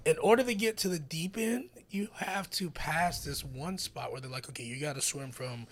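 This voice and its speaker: A man in his forties, a military veteran guest, telling stories in casual chat and acting out quotes with loud bursts. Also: on the high side, normal speed, a little hiss or hum. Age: 40s. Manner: animated storyteller who acts out quotes with sudden loud bursts.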